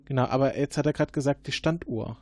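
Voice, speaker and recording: A man in an improvised role-play, questioning formally and probingly as an investigator, often halting mid-sentence. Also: mid-range voice, darkish tone. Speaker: player acting as investigating agent.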